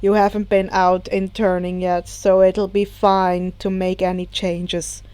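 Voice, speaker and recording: A woman voicing a tired, dismissive male teacher with a sighing, flat manner. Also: mid-range voice, unhurried, smooth, dry recording, voice pushed down, natural voice. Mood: weary.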